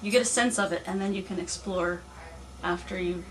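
Calm young woman farmer explaining. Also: lowish voice, fairly quick, rough recording. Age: young adult. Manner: calm, plain, explains step by step. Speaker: mushroom and poultry farmer. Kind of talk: casual interview answers. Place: small cluttered office, indoors.

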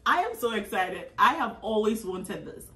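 A young Ghanaian woman chatting casually about her grocery haul, animated and full of um fillers, recorded at a table indoors. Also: medium pitch, normal speed, roomy sound, distant mic. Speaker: vlogger. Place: small indoor room at a table.

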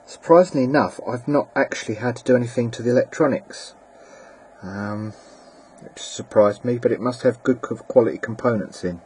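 A man giving a casual, plain-spoken and slightly halting hobbyist demonstration, presenting his own equipment. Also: medium pitch, darkish tone. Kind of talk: casual hobbyist demo narration. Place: indoors at a workbench. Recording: clear background noise, amateur camera audio.

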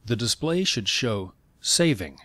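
A man narrating scripted instructions in clear, step-by-step phrasing. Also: mid-range voice, very animated, slow pace, a bit bright, no echo.

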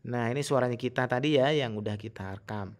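A man giving a casual, informal software tutorial in an explanatory way. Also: mid-range voice, a little fast. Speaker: tutorial host.

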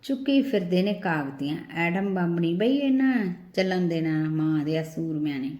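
A woman's voice: on the low side, animated, moderate pace, dark tone, natural voice. A woman voicing mocking, grumbling village men in an audio story, with colloquial rustic speech. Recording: roomy, echoing voice recording.

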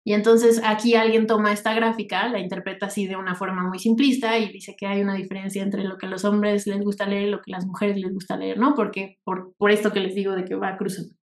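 A woman with a Mexican accent leads a workshop in an informal, conversational way. Her voice sits at an average pitch for a woman, and she explains things while often tagging on rhetorical questions. The recording has a clearly echoey room sound. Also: flat delivery, quick pace, few pauses. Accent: Mexican Spanish. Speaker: workshop instructor. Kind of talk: informal spoken lecture over slides.

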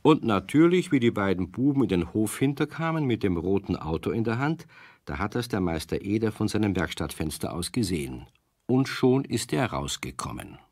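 A man's voice: lowish voice, very animated, moderate pace, darkish tone, no room sound, clean studio sound. A man narrating a children's radio play with an easy storytelling cadence.

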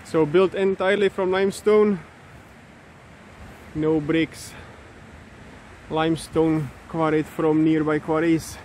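A man in his thirties, not a native English speaker, hosting a casual walking vlog outdoors and talking into a phone held at arm's length, with many fillers and halting restarts. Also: on the high side, level tone, a little mellow. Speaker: vlogger. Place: outdoors in countryside by a river. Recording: outdoor wind and stream noise.

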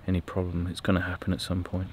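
A man casually narrating a sailing vlog from a boat, calmly explaining each step as he goes. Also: sits low, fast. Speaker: sailing vlogger. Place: outdoors on a boat. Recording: outdoor noise from wind and engine.

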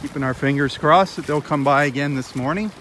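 Man's casual voice over loud outdoor noise. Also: fairly high pitch, a little fast. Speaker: host of a live beach walk. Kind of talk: casual live nature vlog narration. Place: outdoors on a sandbar at a beach. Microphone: handheld phone camera. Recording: noisy outdoor audio with lapping water.

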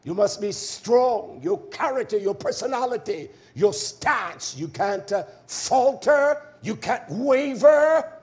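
A man's voice: sits high, animated, slow. A man delivering a fiery verse-by-verse sermon as a preacher, stern and combative, repeating key words for emphasis. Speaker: preacher and Torah teacher.

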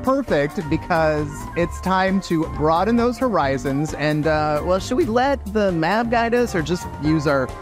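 A man's voice: a little high, expressive, unhurried, few pauses. A man in his forties co-hosting a travel show, teasing and theatrical in scripted banter. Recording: music under the voice.

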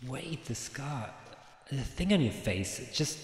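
A man's voice: mid-range voice, expressive, unhurried, slight background noise, lightly acted. A man playing an exasperated ordinary citizen in a comedy radio play, incredulous and reluctantly polite. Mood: bewildered. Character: exasperated ordinary man battling absurd bureaucracy. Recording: echoey hall reverb.

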